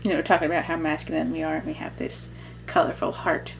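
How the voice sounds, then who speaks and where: A young adult woman with a low, strongly gravelly voice, talking casually to camera. She sounds relaxed and reflective and drops in joking asides. A faint hum runs behind her. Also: fast. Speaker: vlogger. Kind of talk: casual chat.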